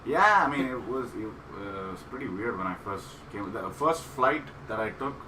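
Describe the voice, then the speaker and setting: A man in his thirties from India with an Indian accent, a guest comedian chatting casually in short, relaxed replies. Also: fairly low pitch, moderate pace, distant mic, noisy background. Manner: relaxed, brief agreeing replies. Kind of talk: casual chat.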